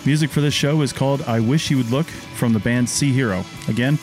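A man's voice: medium pitch, fairly quick, a little mellow, soft background music. A man co-hosting a podcast, easygoing in chat and reading the show outro. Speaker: podcast co-host.

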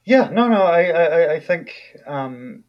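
A man's voice: on the high side, lively intonation, unhurried, dull audio. A man from New Zealand with a New Zealand accent, an author talking casually in an interview, thoughtful and full of fillers and restarts.

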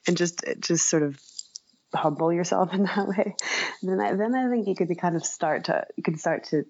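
Low, creaky-voiced woman, tentative and casual. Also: moderate pace, a little mellow, lo-fi sound. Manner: tentative, self-deprecating, searching for words. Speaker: interview guest, writer and author. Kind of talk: casual conversational interview.

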